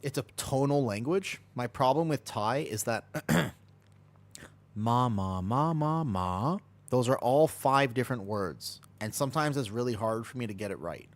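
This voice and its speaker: A man's voice: medium pitch, lively intonation, unhurried. An American man streaming a game, chatting casually and rambling as he answers chat and laughs easily. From United States.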